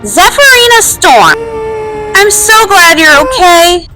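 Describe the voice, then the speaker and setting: Lady doing girly pony princess for a cartoon dub, really high expressive voice, faint music under it. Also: takes it slowly, exaggerated voice, rough recording. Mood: relieved, worried. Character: bubbly, earnest young royal pony princess, social media lover. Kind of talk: fan comic dub, cartoon acting.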